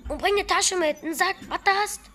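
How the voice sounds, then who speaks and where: An actress playing an excitable, bossy neighbour woman in postwar Germany, blunt and exclamatory in a radio drama. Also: very high voice, fast. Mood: alarmed, urging.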